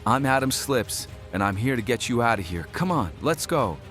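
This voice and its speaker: A man voicing an excitable human boy on a space rescue, urgent and exclamatory, in a comic story. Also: medium pitch, normal speed, faint music behind, close to an everyday voice.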